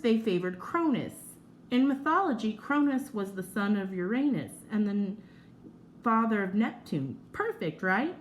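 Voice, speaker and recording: A woman reading a children's nonfiction book aloud like a teacher, in an expressive, measured storytelling manner with drawn-out emphasis. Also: medium pitch, slight background noise.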